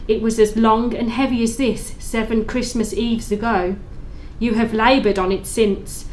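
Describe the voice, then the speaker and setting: A woman's voice: a little high, little pitch movement, measured pace, a little mellow, a little hiss or hum, close to an everyday voice, rough recording. A woman playing the mournful, tormented ghost of a dead business partner, speaking solemnly in an audio play with wailing laments. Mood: anguished, solemn. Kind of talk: library audio play acting.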